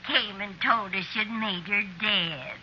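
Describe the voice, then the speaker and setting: A fond, fussy aunt in a 1940s radio play, drawing her words out slowly in a piercingly bright voice. The old recording is muffled. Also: mid-range voice, a little hiss or hum. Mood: fond reminiscing. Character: fond, fussy small-town aunt named Nellie. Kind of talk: 1940s radio drama acting.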